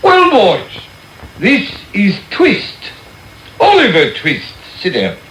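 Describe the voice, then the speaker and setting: A self-important, callous beadle from a period radio play. The voice sits fairly high and swings grandly up and down, declaiming pompously. It comes through a roomy, hissy off-air radio recording. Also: male voice, a bit bright, heavily acted. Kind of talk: period radio drama acting.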